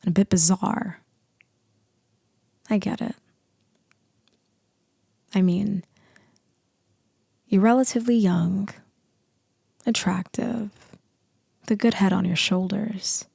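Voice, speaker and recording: A low, very gravelly female boss acted by a woman in an ASMR roleplay. She is dominant, seductive and teasing, speaking slowly and deliberately. Her voice is breathy and often sinks into a whisper, very close and dry. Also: steady tone, mellow, no echo, exaggerated voice. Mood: seductive, coaxing. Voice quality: deep, husky, breathy voice. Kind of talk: ASMR roleplay, slow intimate acting.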